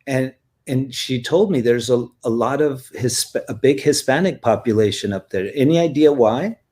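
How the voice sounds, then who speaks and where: A man's voice: medium pitch, moderate pace, darkish tone. A man co-hosting a casual chat, curious and asking questions, stumbling over starts.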